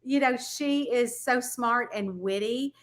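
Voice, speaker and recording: A woman's voice: a little high, slow pace. A woman with a Southern US accent hosting a podcast interview, bubbly and gushing, laughing often. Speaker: podcast host. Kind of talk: casual interview chat.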